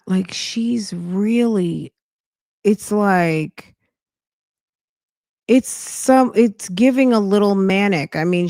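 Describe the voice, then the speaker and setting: Female reaction-podcast host, slow delivery, dry sound. Sassy, slangy, casual and gossipy, speaks directly to the audience. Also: medium pitch, darkish tone, dry recording.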